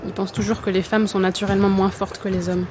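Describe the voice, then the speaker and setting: A woman's voice: medium pitch, little pitch movement, fast, background noise, natural voice. A woman playing an embattled, doubtful young athlete in a French audio drama, earnest and colloquial. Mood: weary, candid. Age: young adult. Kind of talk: naturalistic French audio fiction acting.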